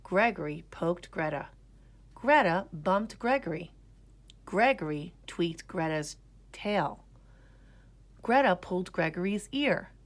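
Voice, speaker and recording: A woman reading a children's storybook aloud in an expressive narrating style. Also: on the low side, slow pace, long pauses. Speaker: storybook reader.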